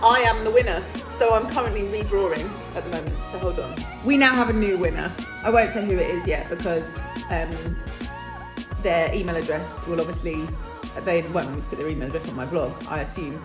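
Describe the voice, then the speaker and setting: A woman with a British accent, chatting casually and self-mockingly to her phone camera, laughing often, her voice a little croaky and sleepy. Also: on the low side, fairly quick, over music. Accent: British English. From England. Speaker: vlogger. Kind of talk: casual vlog chat.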